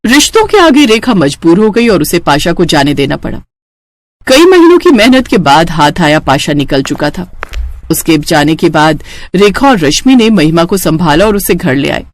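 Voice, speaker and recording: A woman's voice: on the low side, big pitch swings, moderate pace. A woman reading a scripted story as its narrator.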